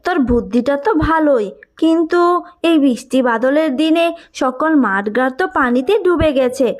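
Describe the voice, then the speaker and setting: A woman voicing a gentle, worried poor mother in a cartoon story, speaking in a plaintive, motherly way. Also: high-pitched, animated, moderate pace. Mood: doubtful.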